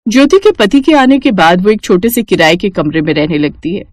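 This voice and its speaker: A woman's voice: medium pitch, very animated, a little fast, dry recording. Woman narrating a story, dry sound.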